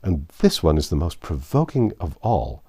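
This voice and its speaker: A man reading aloud, voicing a polite, earnest seven-year-old girl in a gentle, lifted tone. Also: low-pitched, very animated, moderate pace, husky, no room sound, full character voice. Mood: curious.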